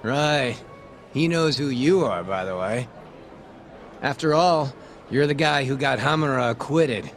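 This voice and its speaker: An actor playing a smug, corrupt police detective who sells information, breezy and teasing, in an English video game dub. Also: male voice, medium pitch, slow, long pauses, soft background music. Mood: wry, chatty. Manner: breezy, cocky, wry, teasing.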